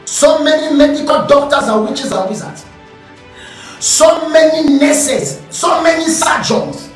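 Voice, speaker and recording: Shouting high-voiced male preacher. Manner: shouting, repetitive declarations, bursts of speaking in tongues. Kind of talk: fiery sermon and prophetic prayer. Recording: very echoey hall, voice from far off.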